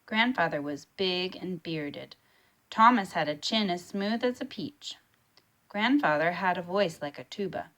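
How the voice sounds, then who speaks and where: A woman reading a children's storybook aloud in a deliberate, expressive way. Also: on the low side. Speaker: storybook reader.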